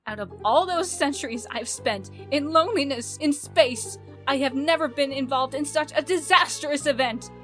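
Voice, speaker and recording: A woman voicing a haughty, indignant young space princess in an animated comic dub, articulate and scolding. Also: high-pitched, moderate pace, hardly any pauses, soft background music. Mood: scornful.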